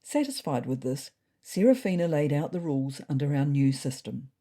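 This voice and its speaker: A woman playing a wry, observant cat narrator, telling a scripted comic diary story in a husky, expressive voice. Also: low-pitched, moderate pace.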